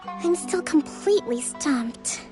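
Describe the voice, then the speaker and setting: A woman playing a composed young priestess leader in a video game, speaking in a measured, formal, articulate way. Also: very high voice, lively intonation, exaggerated voice. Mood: weary. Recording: faint music behind the voice.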